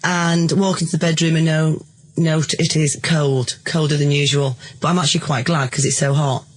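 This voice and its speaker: A woman's voice: sits low, fairly even intonation, moderate pace. Earnest British woman telling her story. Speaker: interview guest. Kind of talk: recounting a personal story in a radio interview.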